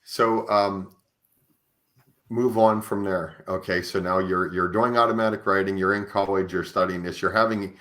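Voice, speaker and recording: Male podcast host, very low voice with a forceful bright edge, summing up deliberately for the guest. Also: steady tone. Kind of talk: interview, recapping and prompting.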